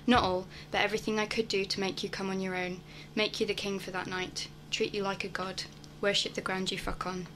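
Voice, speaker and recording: A woman's voice: mid-range voice, little pitch movement, fairly quick, few pauses, fairly bright tone, slight background noise, lightly acted. An actress playing a frank, hungry woman in a radio play monologue, blunt and insistent with her hesitant lover. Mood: urgent desire.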